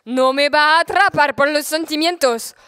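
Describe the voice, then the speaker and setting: A woman's voice: sits high, moderate pace, sharp tone, long reverb tail. A young woman playing a proud, deluded old knight in a student audio drama, with a grandiose, declamatory manner. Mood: defiant. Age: teenager playing the part.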